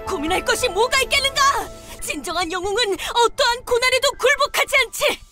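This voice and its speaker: Fearless wannabe knight girl, super high n swoopy, grandiose old timey speech, music. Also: lively intonation, a little fast. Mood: fervent, heroic. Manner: theatrical, archaic declaiming. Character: bombastic, fearless would-be heroine knight, young woman. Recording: music under voice.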